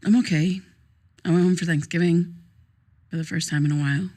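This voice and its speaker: An actress playing a young grieving daughter in a naturalistic audio drama, talking in a halting, conversational, wistful way. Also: female voice, on the low side, steady tone, mellow, close to an everyday voice. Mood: hesitant, guarded. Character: grieving daughter reminiscing fondly about her late father.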